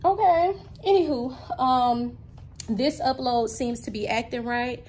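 A woman's voice: a little high, slow pace, a little hiss or hum. Chatty woman vlogging from her car. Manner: chatty, animated, folksy asides to viewers. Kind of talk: casual vlog chat to camera. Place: parked car, then home room. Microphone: phone camera at arm's length.

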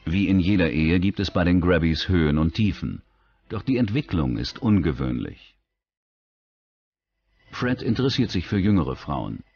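Man, low-pitched, expressive intonation, scripted true-crime narration, documentary narrator. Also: moderate pace, slightly rough, highs cut off.

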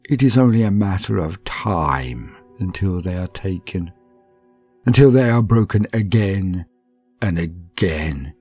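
A man voicing a tormented man who has lost his faith, speaking in a slow, anguished and bitter lament as part of a dramatic audiobook reading. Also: low-pitched, dark tone, husky.

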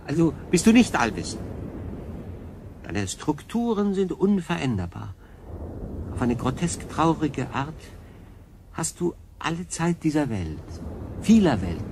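An actor playing an earnest, brooding stranded man in a science fiction radio drama, speaking in a deliberate, probing way. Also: on the high side, very animated, vocal fry, darkish tone, slight background noise, exaggerated voice. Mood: pensive, resigned.

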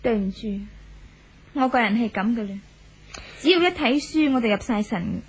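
An actress playing a proud, cutting young wife in a 1970s radio drama, cool and scornful. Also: female voice, on the high side, expressive, moderate pace, slightly rough. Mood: cool, aloof. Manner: haughty, cutting. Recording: old 1970s radio broadcast.

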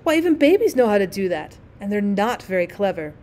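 A woman's voice: mid-range voice, big pitch swings, fairly quick, darkish tone, natural voice. A woman voicing a kind, spirited young girl in a storybook, with an earnest, emphatic way of talking. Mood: brisk, matter-of-fact. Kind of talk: storybook character reading.

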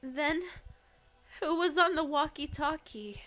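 A woman's voice: sits high, lively intonation, slow pace, sharp tone, exaggerated voice. A woman voice acting a tense, commanding young spaceship survivor in an amateur game roleplay. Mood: confused, tense.

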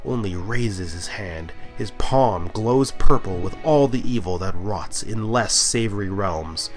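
A man's voice: on the low side, measured pace. A man reading a scripted narrative poem aloud in a theatrical storytelling manner. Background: music.

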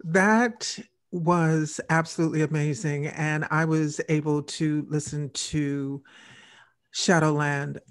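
An older woman hosting an interview show on a video call, with measured delivery. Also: low-pitched, steady tone, no echo, clean studio sound. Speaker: radio show host. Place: indoor room on a video call.

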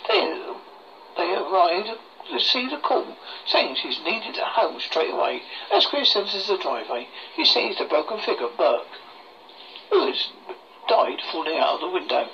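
A horror host reading a scripted story aloud in a halting way, stumbling over words, on a muffled lo-fi recording. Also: medium pitch. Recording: muffled lo-fi recording with faint noise.

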